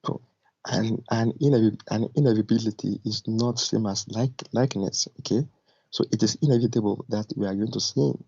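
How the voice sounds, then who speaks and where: A man's voice: lowish voice, fairly even intonation, a little fast, creaky, warm tone, husky, dry recording. A man from Nigeria, calling in to an argumentative debate, explaining his position firmly in formal words over a muffled connection. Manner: firm, explanatory, formal wording. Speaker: debate caller. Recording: muffled lo-fi call, dry, clicks.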